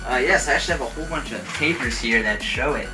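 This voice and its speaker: A man's voice: medium pitch, fast, far from the mic, lightly acted. A man playing a physician guest who hesitates and fills his answers with "uh" and "um". His mid-range voice comes from away from the mic, with music playing behind. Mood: hesitant. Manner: hesitant, many fillers. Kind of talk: amateur talk-show skit.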